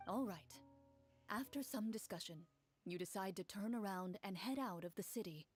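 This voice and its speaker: A woman voicing a serious, formal young duelist telling a story in a video game, in a measured way. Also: mid-range voice, darkish tone, soft background music, close to an everyday voice. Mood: composed. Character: composed, stern young female duelist narrating a tabletop game.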